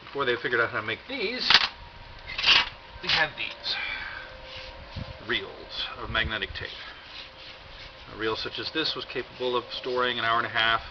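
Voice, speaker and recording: A dull, lo-fi recording with clear noise behind an American man's voice. He talks casually and haltingly, as if showing things off to viewers, with a dry, deadpan wit. His pitch sits in the ordinary male range. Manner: wry, deadpan humour. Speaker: video host. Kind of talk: casual rambling show-and-tell. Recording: dull lo-fi audio, loud background noise.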